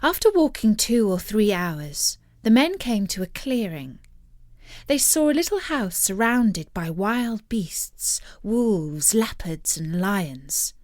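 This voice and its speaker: A woman storyteller reads scripted narration with lively, varied intonation. She takes her time over each phrase, and the sound is dry, with no room echo. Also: medium pitch. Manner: expressive, measured storytelling.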